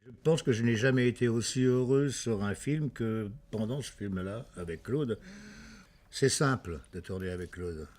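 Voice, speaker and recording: A man from France, an actor speaking in a casual interview, halting with many fillers and restarts. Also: fairly low pitch, darkish tone.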